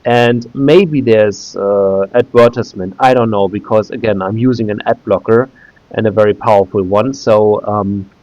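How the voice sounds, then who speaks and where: A man's voice: on the low side, steady tone, moderate pace, a little mellow, dry recording, lo-fi sound. A German man in his thirties, a native German speaker talking in English, walking through a website casually with frequent fillers and restarts. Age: 30s. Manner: hesitant, many "uh" fillers and restarts. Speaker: video creator. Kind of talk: casual screen-recorded walkthrough.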